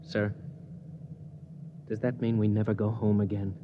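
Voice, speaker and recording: A man's voice: lowish voice, little pitch movement, measured pace, highs cut off, natural voice. A man playing an earnest, deferential junior starship officer in 1950s radio acting style. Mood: troubled. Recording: faintly noisy 1950s radio recording.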